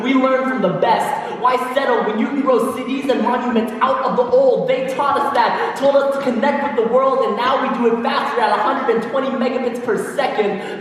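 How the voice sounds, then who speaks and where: A man's voice: very high-pitched, recorded from a distance. Young man performing spoken word in an echoey hall. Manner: rhythmic, emphatic delivery with pointed pauses; relaxed storytelling between poems. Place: large hall, on stage.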